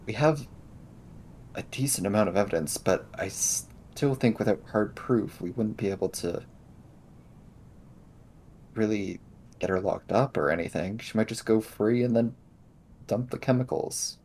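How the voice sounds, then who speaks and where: A man playing a tabletop role-playing game, thinking things through aloud in casual, halting phrases. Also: fairly low pitch, a little mellow, a little hiss or hum. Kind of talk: casual in-character game discussion.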